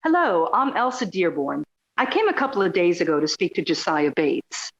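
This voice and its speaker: A woman's voice: on the low side, big pitch swings, moderate pace. Woman acting a polite, inquisitive radio journalist, slightly muffled. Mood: polite, eager. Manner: courteous, articulate, probing. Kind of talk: audio drama acting.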